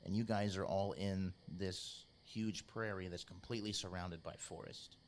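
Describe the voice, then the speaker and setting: A man narrating a tabletop role-playing game as game master, in a measured, informal, descriptive storytelling style. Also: sits low, fairly even intonation. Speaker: game master, podcast host.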